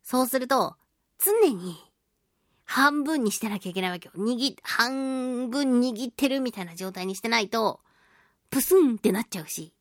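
A woman with a high, girlish pitch hosts a radio talk show. Her intonation bounces widely as she chats playfully and throws in expressive exclamations. The sound is dry, with no room echo. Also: moderate pace. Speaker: radio show host, voice actress. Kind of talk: chatty solo radio talk, reading and answering listener mail.

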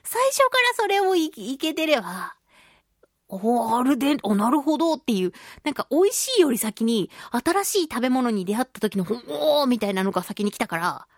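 A woman hosting a radio show, chatting casually and reading listener mail in a playful, expressive way. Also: on the high side, moderate pace, no room sound, studio quality. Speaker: radio show host, voice actress.

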